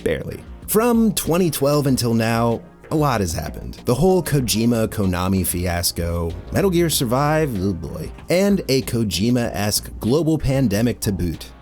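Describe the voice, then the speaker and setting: A man's voice: medium pitch, very animated, normal speed, darkish tone, faint music behind. A man narrating a scripted video essay as its host, in a conversational way with wry asides.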